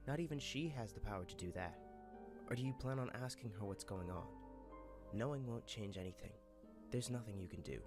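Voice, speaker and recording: A man's voice: fairly low pitch, fairly quick, natural voice. A man playing a cool, fatalistic and enigmatic master in a fan-dubbed game cutscene. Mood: cool, challenging. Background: music under the dialogue.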